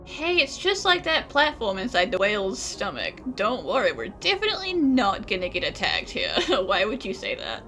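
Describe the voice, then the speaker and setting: A woman's voice: medium pitch, huge pitch swings, normal speed, hardly any pauses, a bit bright, soft background music. A woman gaming streamer giving casual playthrough commentary with wry, sarcastic asides.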